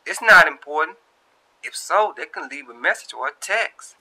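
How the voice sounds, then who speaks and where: A man's voice: fairly high pitch, expressive, normal speed, pushed and bright, voice pushed up, heavily acted, poor audio. A man voicing a feisty, easily annoyed young woman in a storytelling reading, sassy and emphatic. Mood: dismissive. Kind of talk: amateur storytelling.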